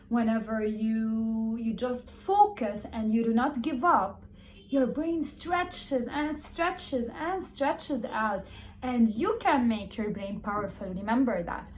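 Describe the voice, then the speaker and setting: Lady teacher doing a read-aloud for little kids, kinda high voice, really slow, encouraging and expressive, repeats stuff. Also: a little hiss or hum, rough recording. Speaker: primary school teacher. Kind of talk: encouraging talk and read-aloud storytelling for young children.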